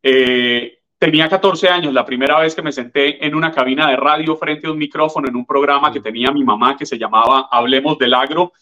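A Colombian man in his fifties, a journalist answering interview questions in a measured, articulate way. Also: medium pitch, steady tone, pushed and bright, highs cut off. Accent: Colombian, from Tolima. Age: 50s. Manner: articulate, measured, formal address. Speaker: guest journalist.

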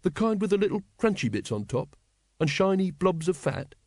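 A man's voice: high-pitched, big pitch swings, moderate pace, a little mellow, no echo, voice pushed up. Man playing an earnest, dry-recorded young watchman. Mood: dreamy. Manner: earnest, polite. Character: earnest, literal, polite young male watchman. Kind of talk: audiobook character voice.

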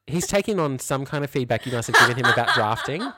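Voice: mid-range voice, animated, quick pace, heavy background noise. An Australian podcast co-host chatting casually and comedically, reading aloud with mocking, incredulous commentary. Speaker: junior primary school teacher.

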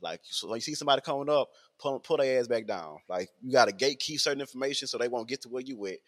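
A man's voice: medium pitch, lively intonation, fast talker. A man, talking in a rambling casual podcast monologue full of fillers and repeats. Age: 20s.

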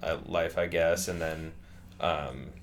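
A young man in his twenties talks in a loose, rambling way, full of fillers and restarts. His voice sits very low. Faint background noise runs under the chat. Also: steady tone, takes it slowly. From California. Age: 20s. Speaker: interviewee. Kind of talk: casual conversation.

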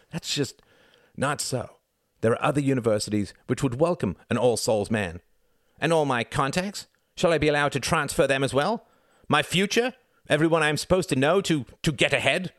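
A man's voice: a bit bright, no room sound, clean studio sound. A man reading as an arrogant, sneering upper-class young man, indignant and haughty. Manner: haughty, indignant, rising shrill.

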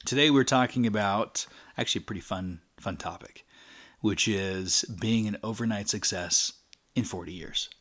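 A man's voice: on the low side, normal speed, a bit bright. A man co-hosting a podcast in casual chat, talking with energy and rambling through fillers. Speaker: podcast co-host.

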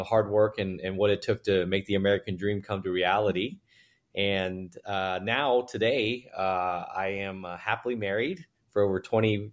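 A man in his forties, born in China and raised in Texas, whose first language was Chinese, telling his life story as a guest in a casual interview, halting and full of fillers. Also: on the low side. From born in China, raised in Houston, Texas. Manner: relaxed, halting, with many fillers and restarts. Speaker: guest, private equity managing partner.

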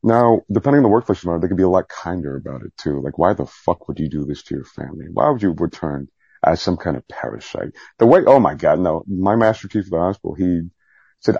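A man with a strikingly deep, husky voice talks candidly as a guest in a casual interview. He rambles in a self-deprecating, lightly profane way. The recording is somewhat dull and muffled. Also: a little mellow, no room sound. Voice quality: low, gravelly-husky voice. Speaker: podcast guest, Navy veteran.

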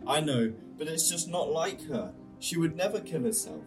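Radio drama role: male actor as headstrong grieving young Brit, higher-pitched, earnest, heard slightly far off under music. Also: animated, normal speed. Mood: insistent. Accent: British. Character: grieving, headstrong young man set on finding the truth. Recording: distant voice under prominent music.

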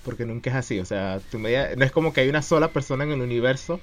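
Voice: medium pitch, lively intonation, very fast. A podcast co-host, chatting loosely and reflectively. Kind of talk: casual podcast chat.

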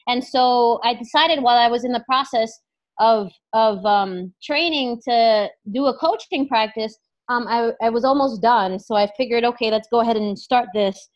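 A woman's voice: on the high side, normal speed, dull audio. A woman who is a clinical psychologist and life coach, speaking as an interview guest in a thoughtful, casual way, full of fillers and restarts. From New York, USA.

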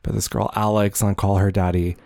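A man's voice: on the low side, flat delivery, fairly quick, vocal fry, a little mellow, no room sound, clean studio sound. A young adult man co-hosting a podcast in casual chat, joking around and slipping into mocking voices. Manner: chatty, jokey, puts on mocking voices. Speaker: podcast co-host.

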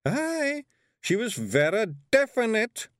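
A man's voice: very high-pitched, very animated, takes it slowly, fairly bright tone, dry recording, clean studio sound, voice pushed up, heavily acted. A man voicing a tiny, fierce, loyal fairy man with a broad Scottish accent and blunt dialect speech. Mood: earnest.

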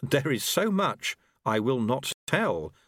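A man voicing a calm, quietly assured young male apprentice monk. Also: medium pitch, dry recording, clean studio sound, voice pushed up. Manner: calm, measured, quietly firm.

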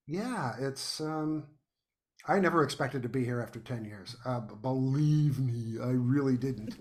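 A man's voice: medium pitch, a little slow, a little mellow. A man talking conversationally and emphatically as a guest in a podcast interview.

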